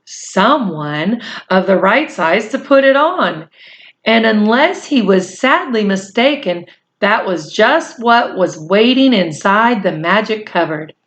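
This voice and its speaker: A woman reading a storybook aloud as narrator, in measured, deliberate phrases. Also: mid-range voice, animated, fairly bright tone.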